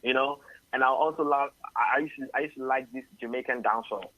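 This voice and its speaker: A Ghanaian singer-songwriter answering radio interview questions at length, often adding "you know". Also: male voice, mid-range voice, fairly even intonation, fast talker, no room sound, highs cut off. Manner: rambling, tags sentences with "you know". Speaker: singer-songwriter and label head.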